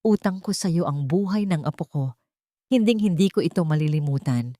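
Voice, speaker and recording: A woman's voice: on the low side, animated, brisk, dark tone, no room sound, clean studio sound, close to an everyday voice. A woman voicing a proud old billionaire grandfather in an audio drama, solemn, trembling and humbly grateful. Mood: humbled gratitude.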